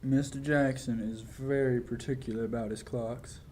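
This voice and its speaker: A young male amateur actor playing a nervous, evasive old clock repairman in a halting, polite manner, in 1940s radio play style. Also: mid-range voice, fairly even intonation, a little mellow, slight background noise, natural voice. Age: young adult. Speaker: amateur high school actor.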